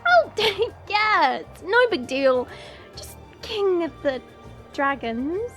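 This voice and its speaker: A woman's voice: very high-pitched, very animated, very slow, fairly bright tone, faint music behind, full character voice. A woman playing a haughty yet playful young dragon princess in a fantasy audio roleplay, breezy and teasing. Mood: nonchalant. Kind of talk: fan audio roleplay acting.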